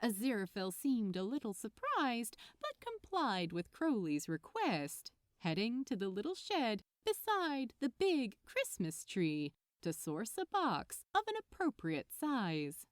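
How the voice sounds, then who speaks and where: A woman's voice: fairly high pitch, huge pitch swings, unhurried, hardly any pauses, no echo, clean studio sound. A woman reading light romantic fiction aloud as a scripted story narration. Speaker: podfic narrator.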